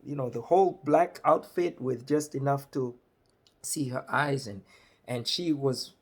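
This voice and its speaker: Middle-aged man from Malawi, husky voice, animated storyteller at a webcam. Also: mid-range voice, a little slow. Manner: animated, gestures a lot while telling. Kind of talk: personal storytelling. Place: small indoor room.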